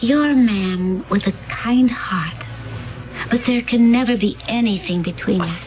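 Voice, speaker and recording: An actress playing a calm, mysterious woman from another planet, speaking in a solemn, measured way in a 1970s radio drama. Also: on the high side, dull audio, music in the background, lightly acted.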